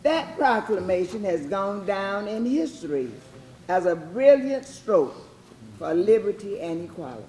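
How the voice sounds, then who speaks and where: An echoey room carries a speaker giving a public history address. The phrasing is slow, deliberate and oratorical, with faint noise behind. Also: medium pitch, big pitch swings, darkish tone.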